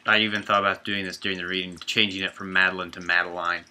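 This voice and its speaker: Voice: deep voice, level tone, quick pace, bright, forceful tone. A participant giving casual comments during a group script critique.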